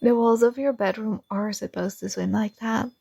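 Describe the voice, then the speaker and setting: A woman voicing a possessive young princess in an ASMR roleplay, talking in a soft, slow, coaxing and teasing way. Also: fairly high pitch, fairly even intonation, gravelly, husky, no room sound. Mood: sweetly commanding.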